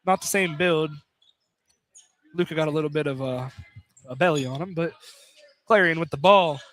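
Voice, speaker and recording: A young man with a notably high voice calls a college basketball game live on radio. His play-by-play is informal and conversational, with banter aimed at a co-commentator. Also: moderate pace, a bit bright, a little hiss or hum. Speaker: play-by-play announcer. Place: college basketball field house, live broadcast.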